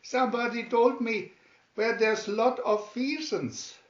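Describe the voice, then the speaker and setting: A good-natured cartoon sailor, acted out by a man, talks in folksy, emphatic phrases. The voice is raised high and very animated. It sounds slightly muffled in an echoey room. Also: slow. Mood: eager, plucky. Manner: folksy mangled grammar, emphatic.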